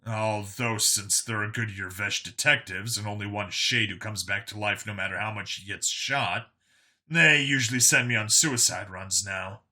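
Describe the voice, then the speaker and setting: A man voicing a dry, matter-of-fact detective in an audio story, speaking in a deadpan way. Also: lowish voice, moderate pace. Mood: dry, resolute. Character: Vincent, dry, matter-of-fact male detective, hard to kill.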